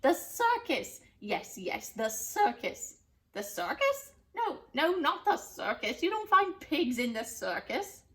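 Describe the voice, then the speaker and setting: A woman's voice: high voice, very animated, takes it slowly, fairly bright tone, voice pushed up, heavily acted. A woman voicing a cranky, scheming old witch in a storybook, with theatrical, bossy exclamations. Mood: pondering, excited. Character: cranky, scheming hag witch craving piggy pie. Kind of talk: storybook character voice.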